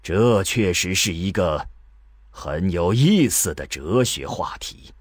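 Guy doing old learned man in a radio play, super low voice, slow n halting, patient lecturing. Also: expressive, slightly rough, dry recording, clean studio sound, full character voice. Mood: musing, didactic. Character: old scholarly man explaining patiently to a youngster. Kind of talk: radio drama acting.

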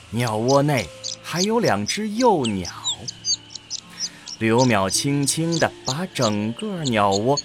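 A man narrating a scripted audio drama as an expressive storyteller. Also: on the high side.

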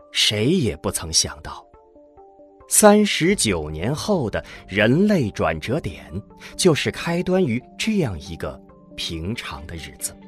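Expressive, slow-paced male narrator. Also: lowish voice. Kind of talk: scripted audio drama narration.

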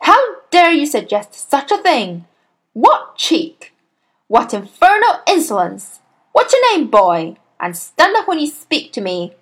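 A huge, imperious headmistress from a children's storybook. She barks and snaps with open contempt for small people, her pitch swinging widely at a slow, deliberate pace. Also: very animated, rough recording. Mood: outraged. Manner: barking, snapping, imperious.

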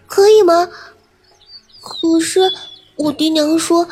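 A woman's voice: extremely high pitch, slow, darkish tone, faint music behind. A woman playing an innocent, playful young carp-spirit maiden in an audio drama, with an inquisitive manner. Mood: hesitant. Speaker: voice actress.

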